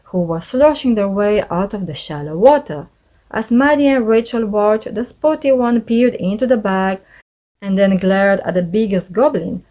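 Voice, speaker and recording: A woman reading a children's storybook aloud as scripted narration, in an expressive storyteller's manner. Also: mid-range voice, poor audio. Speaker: storybook narrator, channel host.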